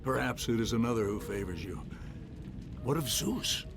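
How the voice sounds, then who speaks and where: Grave centaur adviser for a game: measured, knowing, medium-pitched, music underneath. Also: male voice, lively intonation, vocal fry. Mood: solemn counsel. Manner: solemn, measured. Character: grave, knowledgeable male companion of a hero, centaur. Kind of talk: video game character acting.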